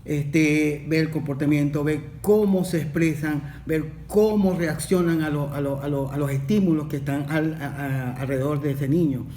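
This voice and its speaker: A male psychologist in a casual panel talk, halting and repeating words as he searches for them. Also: a little high, warm tone, a little hiss or hum. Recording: echoey room, far from mic.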